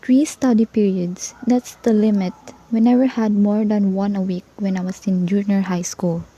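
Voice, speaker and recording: A woman with a husky voice playing an exasperated, studious older sister in an amateur radio play. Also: mid-range voice, steady tone, normal speed, warm tone, muffled recording, close to an everyday voice.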